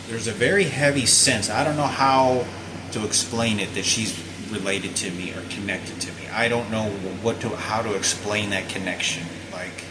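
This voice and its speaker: A man's voice: lowish voice, noisy background. A man in his thirties telling a long personal story, repeating himself and often restarting and correcting his sentences. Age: 30s. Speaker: vlogger. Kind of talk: rambling personal account to camera.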